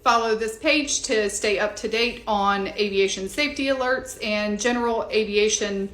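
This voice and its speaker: A woman with an American accent presenting explanatory commentary in a measured, informative way. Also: mid-range voice, fairly bright tone. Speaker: presenter of an aviation discussion. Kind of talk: explanatory scripted commentary to viewers. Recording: echoey, reverberant room.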